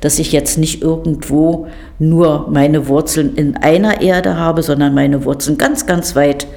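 Thoughtful low-voiced woman. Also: steady tone, unhurried, vocal fry, dark tone. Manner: thoughtful, articulate. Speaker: interviewee.

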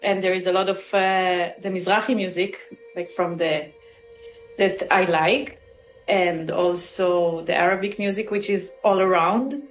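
A woman's voice: lowish voice, fairly even intonation, unhurried. A woman, answering interview questions thoughtfully and searching for her words. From Israel. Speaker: musician guest. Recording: roomy with faint music.

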